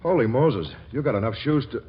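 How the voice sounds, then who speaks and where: An actor playing a naive, lovestruck rich young American bachelor in a 1940s radio comedy, stammering and halting as he talks. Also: medium pitch, lively intonation, slight background noise, natural voice. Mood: bashful, flustered. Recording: muffled 1940s radio broadcast, music behind.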